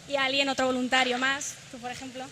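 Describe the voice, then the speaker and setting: Bright-voiced woman leading a workshop. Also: high-pitched, little pitch movement, fast, a little hiss or hum. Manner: instructive, guiding a group. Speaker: workshop leader. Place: large hall. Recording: echoey hall, faint ambience.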